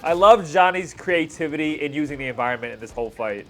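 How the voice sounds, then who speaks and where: A man's voice: fairly high pitch, animated, fast talker, a bit bright, faint music behind. A man in his thirties giving casual reaction commentary as a video host, talking like an enthusiastic fan with slangy asides.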